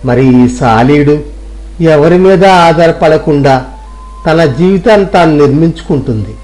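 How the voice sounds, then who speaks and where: A man's voice: fairly high pitch, animated, normal speed, a little mellow, soft background music, close to an everyday voice. A man playing a poor, self-doubting villager who thinks aloud earnestly in a moral story. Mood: awed. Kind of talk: Telugu moral story audio drama.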